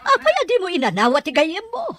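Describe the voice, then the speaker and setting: A woman playing a worried, heartbroken mother in an audio drama, speaking softly. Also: extremely high pitch, huge pitch swings, quick pace, no echo, exaggerated voice. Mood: sorrowful. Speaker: mother figure. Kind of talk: Ilocano audio drama acting.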